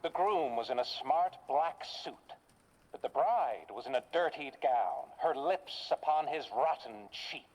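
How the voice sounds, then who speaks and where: A woman playing a folksy, eerie radio host who narrates macabre horror tales with theatrical pauses in a video game. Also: sits low, expressive, a little mellow, rough recording. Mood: macabre, wry.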